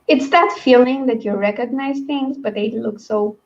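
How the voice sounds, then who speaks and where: High-voiced woman chatting casually, slightly muffled. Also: moderate pace. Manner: rambling, chatty. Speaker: host of a book read-along livestream. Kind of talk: casual book discussion chat.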